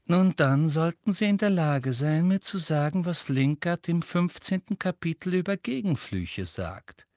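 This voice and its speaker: Storybook villain: male reader as sugary, condescending middle-aged schoolmistress, high, swooping pitch, cold authority. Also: lively intonation, a little mellow, no room sound, studio quality. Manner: honey-sweet, condescending, deliberate.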